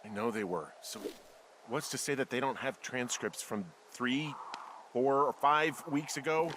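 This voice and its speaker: A man's voice: medium pitch, measured pace, fairly bright tone, a little hiss or hum, natural voice. An actor playing a sarcastic, suspicious everyman fire lookout in a video game, with an American accent and a plainspoken, naturalistic delivery. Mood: agitated, suspicious. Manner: sardonic, plainspoken.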